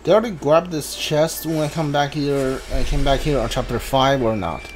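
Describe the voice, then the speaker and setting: A man's voice: medium pitch, fairly quick, a little hiss or hum. A man giving casual, off-the-cuff commentary as he plays a video game, muttering his thoughts aloud to himself. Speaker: video game streamer playing a blind playthrough. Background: video game music.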